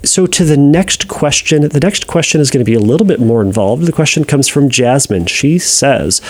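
A man in a mid-pitched voice, talking as a therapist who takes listener questions on a podcast. He stumbles into his points with lots of fillers and restarts, then settles into steady explanation. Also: normal speed, husky. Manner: hesitant, many fillers and restarts; reads listener letters aloud.

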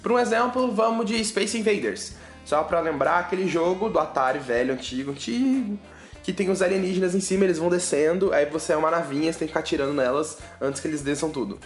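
A man with a Brazilian Portuguese accent hosting a casual science news podcast, chatty and joking with listeners. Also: high-pitched. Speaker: podcast host. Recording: roomy echo with faint music.

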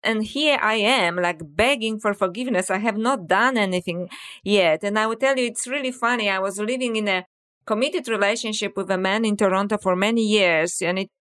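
A woman's voice: medium pitch, a little fast, fairly bright tone. A middle-aged woman with a Polish accent, a guest author speaking reflectively in a podcast interview. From Poland. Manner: reflective, storytelling with frequent "you know".

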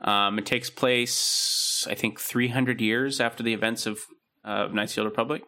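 A man's voice: mid-range voice, normal speed. A young man co-hosting a podcast, chatting casually and explaining things loosely with lots of "um" and "uh". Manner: relaxed, explains with many fillers. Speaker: podcast co-host. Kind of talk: casual chat.

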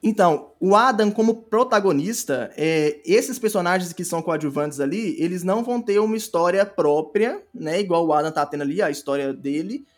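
A man's voice: high-pitched, lively intonation, a little fast, few pauses, faint music behind. A Brazilian man speaking casually as an interview guest, explaining in a rambling way and often rephrasing himself. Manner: rambling, self-correcting, repeats phrases. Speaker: podcast guest, audio drama creator.

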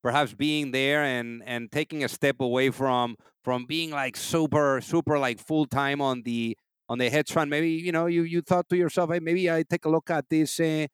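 Smooth-voiced man hosting an interview. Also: a little high, moderate pace, no room sound. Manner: relaxed, filler-heavy questions. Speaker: podcast host. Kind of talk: casual interview, reads sponsor ad.

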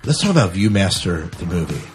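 Low-pitched American man, casual podcast host. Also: sing-song, fast talker, faint music behind. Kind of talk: casual sci-fi news chat.

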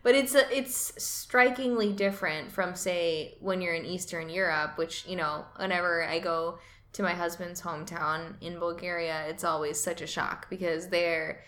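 A woman's voice: on the low side, steady tone, moderate pace, a bit bright. A woman co-hosting a podcast in casual chat, talkative and laughing easily. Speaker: podcast co-host.

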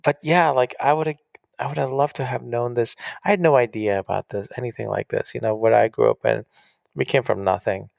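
A man's voice: medium pitch, steady tone, a little fast, creaky, darkish tone, no echo, studio quality. A man, an author as guest, talking earnestly and animatedly in a casual interview.

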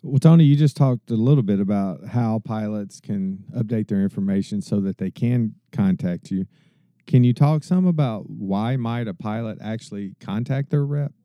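A man's voice: lowish voice, moderate pace, no room sound, dull audio. A man hosting an interview podcast, asking questions and signing off in a friendly, scripted way. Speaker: podcast host.

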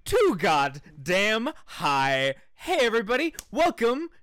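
A man's voice: high voice, huge pitch swings, takes it slowly, fairly bright tone, dry recording. A man co-hosting a podcast, telling stories in a casual, irreverent, joking way with some swearing. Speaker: podcast co-host. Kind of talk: casual comedic storytelling.

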